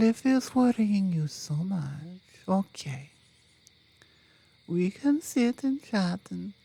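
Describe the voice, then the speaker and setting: A woman voicing a loving, tender mama bear in an ASMR roleplay, speaking softly and slightly breathily, with halting, foreign-sounding phrasing and an affectionate manner. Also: medium pitch, exaggerated voice. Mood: teasing, affectionate. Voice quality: soft, hushed, slightly breathy. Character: loving, tender female mama bear, devoted mate and mother. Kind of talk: ASMR roleplay, intimate scripted monologue.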